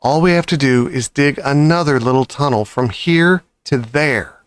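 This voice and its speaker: A man voicing a clever, cheerful, commanding father fox in a children's storybook, excited and pleased with himself. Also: medium pitch, normal speed, smooth, no room sound, close to an everyday voice. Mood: pleased, brisk. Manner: excited, bossy, self-satisfied.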